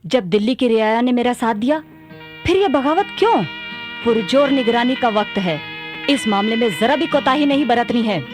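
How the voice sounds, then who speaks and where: A woman's voice: fairly high pitch, animated, moderate pace, music in the background, lightly acted. A woman acting a resolute, imperious young sultana in a radio play, speaking formally. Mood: troubled, resolute.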